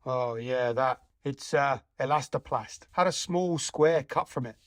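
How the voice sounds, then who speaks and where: A man's voice: medium pitch, unhurried, a bit bright, lightly acted. A man playing a practical, brusque police inspector with casual, matter-of-fact authority in an audio play.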